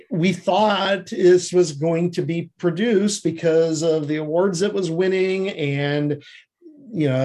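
Male podcast guest with a fairly high voice, chatty and conversational, an animated storyteller. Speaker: screenwriter and producer, podcast guest. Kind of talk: casual interview talk.

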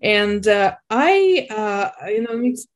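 A woman from Ukraine talks as a guest author in a relaxed interview. Her voice sits at a middle pitch. She is thoughtful and reflective, often lightened by a small laugh. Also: slow pace. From Ukraine, later Russia and Israel.

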